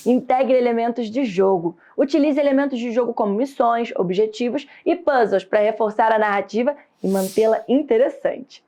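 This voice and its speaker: A woman with a Brazilian Portuguese accent teaches a course lesson in a steady, explanatory reading voice. Her voice sits a little high. The sound is dull and lo-fi. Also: moderate pace, hardly any pauses. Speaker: course instructor. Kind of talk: scripted lesson narration.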